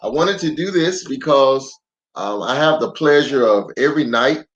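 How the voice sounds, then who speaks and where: A man's voice: medium pitch, lively intonation, moderate pace. An American man, a pastor, chatting casually and welcomingly to families as he hosts a children's story time livestream. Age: adult parent of young children. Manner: welcoming, addresses viewers directly. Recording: dull lo-fi livestream audio with music.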